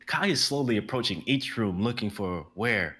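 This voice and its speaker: A young man narrating the stage directions of a dramatic reading in a steady, descriptive way. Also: lowish voice, normal speed, breathy edge. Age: young adult.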